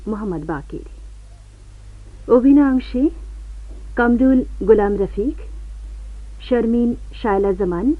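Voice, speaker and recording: A woman's voice: a little high, unhurried, long pauses, no room sound. A woman announcer reading out scripted radio play credits. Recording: tinny, phone-like old radio recording.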